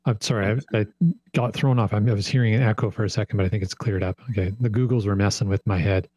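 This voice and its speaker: A man's voice: on the low side, steady tone, quick pace, darkish tone, no echo. A man hosting a podcast, asking questions in an upbeat, chatty way. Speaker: podcast host. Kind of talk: casual interview chat.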